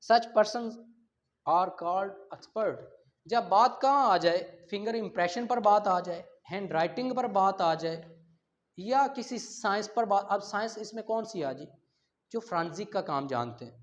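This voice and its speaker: High-pitched Pakistani male law lecturer, dull audio. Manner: didactic, explains with everyday examples and rhetorical questions. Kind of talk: exam-prep lecture, explaining and reading out statute articles.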